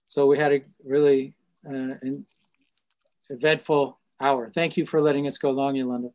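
A man closing his radio segment, speaking with hesitant pauses. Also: medium pitch, level tone, darkish tone. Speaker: host of previous segment. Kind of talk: wrap-up talk on a radio show.

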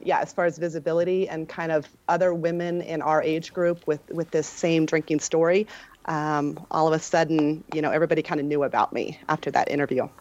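A woman in her forties, a nutritionist and health coach, speaking as a guest on a casual podcast, earnest and halting with many restarts. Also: deep voice, darkish tone. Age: 40s. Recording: dull lo-fi remote line.

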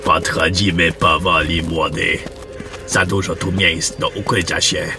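A man playing a gruff, wary veteran general who mentors a young warrior in a fantasy audio drama. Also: low-pitched, steady tone, measured pace. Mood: wary, commanding. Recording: music under the voice.

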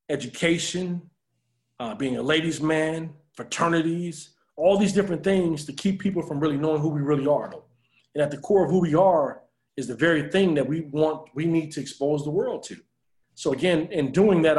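An American man being interviewed as an author, telling personal stories in a reflective, earnest way. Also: fairly high pitch.

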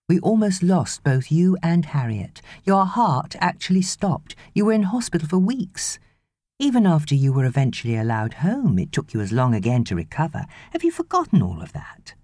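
A woman's voice: low-pitched, very animated, moderate pace, warm tone, dry recording, voice pushed up. A woman voicing an earnest, loving husband and father in an audiobook, pleading and persuading emphatically. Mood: exasperated concern.

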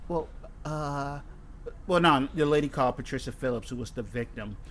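A man's voice: mid-range voice, normal speed, a bit bright, slight background noise. Male podcast host, casual and profane, rambles through stories. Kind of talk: casual chat.

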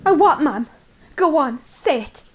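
A high-pitched, sullen girl of seventeen in a radio drama. She talks back with sulky sarcasm and defiance, over clear background noise. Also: animated, a little slow. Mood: defiant. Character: sullen, defiant seventeen-year-old daughter.

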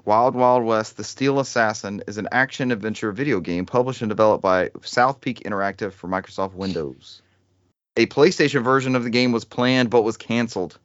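A man's voice: on the low side, brisk, no echo, muffled recording. A man hosting a casual gaming podcast, chatting and reading bits of trivia aloud. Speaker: podcast host.

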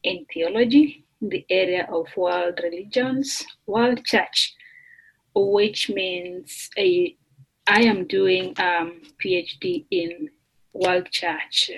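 A woman from Kenya, a doctoral student, talking slowly and thoughtfully with fillers as a guest on a remote podcast interview. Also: fairly low pitch, animated, gravelly, slightly rough, rough recording. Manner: slow, thoughtful, with fillers and restarts. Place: remote call.

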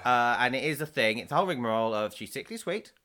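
A man's voice: medium pitch, expressive, fast, sharp tone. A man co-hosting a casual podcast, chatty and teasing his friends. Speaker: podcast co-host. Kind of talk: casual chat.

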